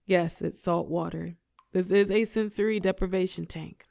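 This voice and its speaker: A woman playing a telepathic villain and former hero in a roleplay audio drama, protective and sharp-tongued, with a breathy voice that often drops to a whisper. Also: fairly low pitch, fairly even intonation, dry recording. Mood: firm, guarded. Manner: slow, commanding yet tender coaching.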